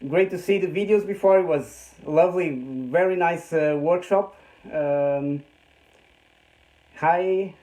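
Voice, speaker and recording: A Portuguese man in his thirties, speaking English with many pauses and restarts as he gives a casual tour of his small home workshop. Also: on the high side, dark tone, rough recording. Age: 30s. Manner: hesitant, many fillers and restarts, chuckles often. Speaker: host showing his home print workshop. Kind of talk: casual live-stream tour. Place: small home machine room.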